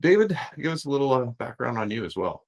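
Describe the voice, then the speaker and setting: A man's voice: mid-range voice, sing-song, fast. A man hosting a live stream and presenting as a course author, upbeat and chatty with many fillers. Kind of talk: casual webinar talk. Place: home office.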